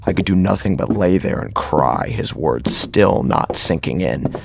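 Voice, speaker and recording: A man's voice: deep voice, expressive, unhurried, gravelly, slight background noise. A man narrating a horror story in the first person, in a grave, brooding, dramatic manner.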